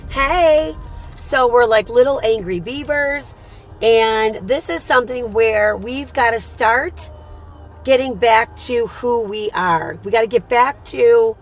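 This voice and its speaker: A woman with a hoarse, rough, tired voice hosting a motivational live stream, talking earnestly and repeating her points. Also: fairly high pitch. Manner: repetitive, earnest, coaching tone with many rhetorical "right?".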